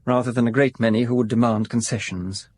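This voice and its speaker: A man acting a fussy, slightly husky genteel decorator. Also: mid-range voice, steady tone, fairly quick, a little mellow, no room sound, lightly acted. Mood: guarded, precise. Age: 30s. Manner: precise, politely stiff, fussy. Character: fastidious, shy, genteel male architect-decorator in his thirties. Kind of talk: radio drama acting.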